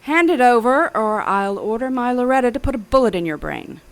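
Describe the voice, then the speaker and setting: A woman's voice: a little high, expressive, brisk. An actress playing a smug, menacing female mad scientist in an audio drama thriller, speaking precisely and theatrically. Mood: cold, commanding.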